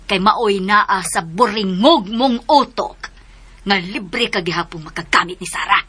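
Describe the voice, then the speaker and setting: A woman's voice: mid-range voice, unhurried, bright, forceful tone, slightly rough. An actress playing a stern, shrewd mother of grown sons in a radio drama, scolding and commanding. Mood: stern, accusing.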